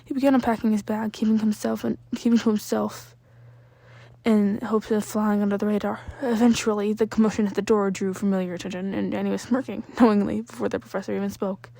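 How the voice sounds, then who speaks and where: A woman narrates a piece of fiction in an amateur reading. Her mid-pitched voice has noticeable creak, and the sound is dry and close. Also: level tone, brisk, dark tone, dry recording. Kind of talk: scripted story narration.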